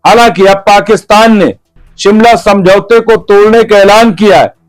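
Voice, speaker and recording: A man reading a scripted news explainer in a formal, measured way, in short phrases. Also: high-pitched, faint music behind. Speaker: news narrator.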